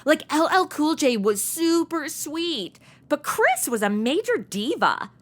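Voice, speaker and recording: A woman's voice: high-pitched, sing-song, a little slow, fairly bright tone, exaggerated voice. A woman playing a flippant, chatty young woman with a sassy, irreverent way of talking in a comedy audio drama. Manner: sassy, irreverent, modern slang.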